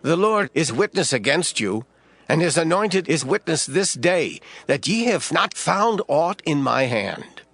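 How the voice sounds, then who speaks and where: A man's voice: a little high, big pitch swings, unhurried, fairly bright tone, full character voice. An actor playing an old, gray-headed prophet who addresses his nation in a solemn, stern, declamatory way, as in a scripture drama. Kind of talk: dramatized scripture acting, oratorical. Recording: music bed behind the voice.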